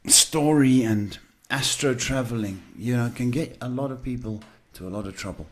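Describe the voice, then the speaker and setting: A man hosting live psychic readings, talking casually and haltingly as he advises people, often checking in with a short questioning word. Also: mid-range voice. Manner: halting, repeats "okay" as a check. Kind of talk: live advice to callers, casual spiritual talk.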